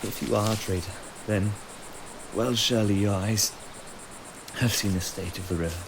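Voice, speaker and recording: A man playing a grave, wary water creature in a fantasy audio drama, speaking formally and deliberately. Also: fairly low pitch, fairly even intonation, noisy background, lightly acted. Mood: suspicious. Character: grave, suspicious male Zora of a parched river.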